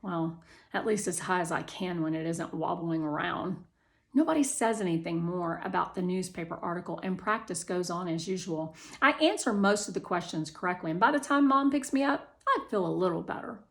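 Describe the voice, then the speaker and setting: A woman narrating a children's novel aloud in the first person, with expressive storytelling delivery. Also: lowish voice, normal speed, vocal fry. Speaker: children's book read-aloud reader.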